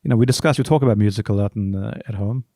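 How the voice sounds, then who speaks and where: A middle-aged man with a softened South African accent, answering interview questions casually in measured, halting phrases. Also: on the low side, no room sound, clean studio sound. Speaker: podcast guest, music arranger.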